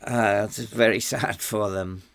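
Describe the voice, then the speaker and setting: An older man from England with a hoarse, failing voice, commenting casually on a football management game and muttering to himself as he plays. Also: on the low side, steady tone, unhurried, a bit bright. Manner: muttering, talking to himself while playing. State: losing his voice, hoarse. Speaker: gaming YouTuber.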